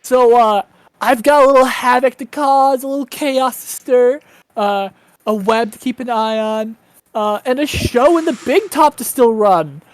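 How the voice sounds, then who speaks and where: A woman's voice: a little high, a little slow, no room sound, lightly acted. A woman voicing a scheming spider who runs a circus, tossing off threats casually. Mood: breezy. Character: scheming spider circus boss, sly and menacing.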